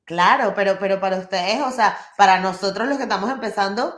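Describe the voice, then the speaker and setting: Woman, mid pitch, laughs a lot, roomy echo. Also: fairly quick. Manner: warm, laughs often, enthusiastic fillers. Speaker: podcast interviewer. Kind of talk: casual interview chat. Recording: roomy echoey sound.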